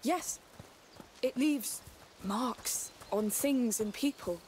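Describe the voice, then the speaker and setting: Soft, earnest, weary young heroine. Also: female voice, a little high, drawn-out words, slight background noise. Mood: earnest, resolute. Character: weary, resolute young woman wanderer, gentle and wistful. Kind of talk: video game dramatic dialogue.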